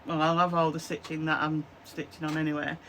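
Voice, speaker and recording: A woman in her fifties with a Lancashire accent, a chatty crafter with a chesty cough and a hoarse voice, rambling to camera from her small craft room with lots of fillers. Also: low-pitched, flat delivery, fairly quick, a bit bright, slight background noise, low-quality recording. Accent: Lancashire English. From Lancashire, England. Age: 50s. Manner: rambling, with many "um, so yeah" fillers. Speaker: craft vlogger. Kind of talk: casual chatty to-camera vlog. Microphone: camera at desk, close framing.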